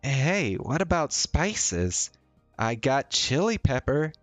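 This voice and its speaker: A man's voice: mid-range voice, big pitch swings, slow pace, no room sound, voice pushed up. A man voicing a chatty, playful young woman in a visual novel, with a teasing, breezy manner. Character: young woman, casual, chatty, playful story-game heroine. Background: faint music.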